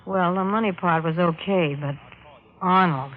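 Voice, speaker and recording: A woman's voice: fairly low pitch, unhurried, slight background noise, natural voice. A hard-boiled, mocking widow from a vintage radio mystery, played by an actress. She talks bluntly in slang with no sentiment at all. The sound is dulled, like an old broadcast. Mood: brazen, unsentimental. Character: brash, mocking, unsentimental gold-digging widow. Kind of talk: old-time radio crime drama acting. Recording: muffled old-time radio recording.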